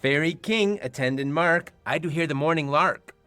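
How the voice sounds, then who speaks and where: A man's voice: fairly high pitch, moderate pace, bright, forceful tone, clean tone, dry recording, soft background music. A man playing a mischievous fairy servant, speaking rhymed Shakespearean verse in a radio play. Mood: brisk, sly.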